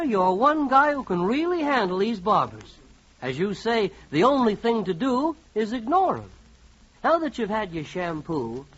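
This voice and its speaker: A wry, confiding narrator for an old radio comedy. His voice is high and very animated, full of mock encouragement. The 1940s recording sounds muffled. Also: slight background noise, exaggerated voice. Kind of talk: second-person comic narration, 1940s radio.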